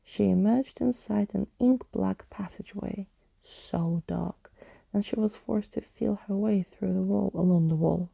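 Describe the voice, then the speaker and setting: A woman narrating a storybook in a soft, deliberate ASMR whisper. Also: mid-range voice, creaky, slightly rough, no echo. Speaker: ASMR host reading a story aloud.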